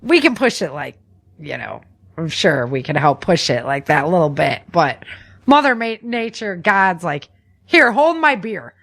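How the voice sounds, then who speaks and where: A woman hosting a podcast, chatting casually to listeners in an animated, folksy way with informal asides. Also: on the low side, a little slow. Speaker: podcast host. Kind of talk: casual news chat to listeners.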